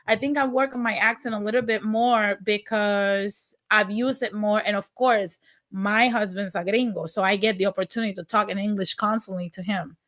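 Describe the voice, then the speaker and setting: A woman's voice: medium pitch, fairly even intonation, moderate pace, fairly bright tone. A woman from Puerto Rico with a Puerto Rican accent, hosting a livestream and giving blunt, impassioned political commentary as she reads viewer comments. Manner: impassioned and blunt, with sarcasm and occasional profanity. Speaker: livestream host.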